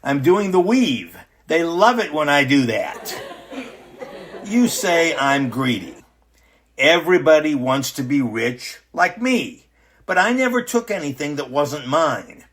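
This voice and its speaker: A man acts out a petulant, self-adoring strongman on the witness stand in a satirical radio play. He brags and rambles with very animated pitch, brushing everyone off. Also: slow, slight background noise, heavily acted. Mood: smug, defiant. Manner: bragging, rambling, dismissive. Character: boastful, petulant ex-leader on trial, a swaggering satirical braggart. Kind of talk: satirical radio drama acting.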